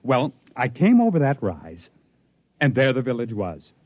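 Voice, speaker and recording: Haunted, obsessive stranded captain, brooding storyteller, highly animated pitch, dull vintage radio sound. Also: male voice, dry recording. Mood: grim, intense. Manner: dramatic storytelling with brooding pauses. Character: stranded male spaceship captain recounting his alien ordeal, haunted, obsessive. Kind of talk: 1950s radio drama acting.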